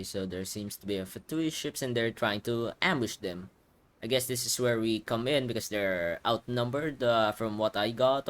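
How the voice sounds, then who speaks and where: A man giving casual, rambling video game playthrough commentary, thinking aloud as he hosts. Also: medium pitch. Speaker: gaming video host.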